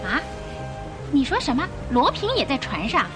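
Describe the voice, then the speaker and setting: A woman's voice: high voice, big pitch swings, moderate pace, music in the background. An actress playing a chatty, trusting ordinary woman passenger in an old-style radio drama. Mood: alarmed.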